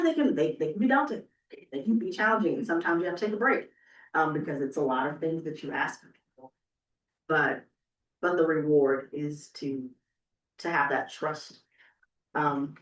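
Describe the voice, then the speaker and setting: A woman speaking conversationally as an oral historian on a panel, emphatic and often checking in with "right?". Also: sits low. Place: indoor event room. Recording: echoey room, distant microphone.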